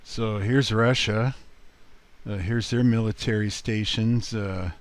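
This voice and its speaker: Man, lowish voice, very slow pace, casual hobbyist host, rambling and halting screen commentary. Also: fairly even intonation. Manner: rambling, halting, thinking aloud. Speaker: host of a radio hobby video.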